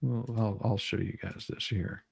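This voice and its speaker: A man hosting a casual live stream, talking haltingly and often repeating his words. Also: on the low side, fairly even intonation, vocal fry, a little mellow, husky.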